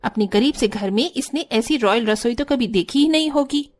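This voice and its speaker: A woman's voice: fairly high pitch, moderate pace, lightly acted. A woman voicing a haughty, quarrelsome sister-in-law in a cartoon story, with a taunting, scornful manner. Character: haughty, spiteful elder sister-in-law, housewife. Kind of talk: exaggerated Hindi cartoon story acting.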